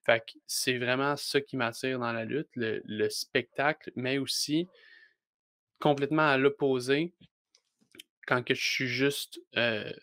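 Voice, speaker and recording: Young Québécois man, flat halting speech. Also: mid-range voice, no room sound, studio quality. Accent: Québécois French. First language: French. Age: young adult. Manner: hesitant, many restarts and fillers. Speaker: wrestler guest. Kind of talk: casual podcast interview.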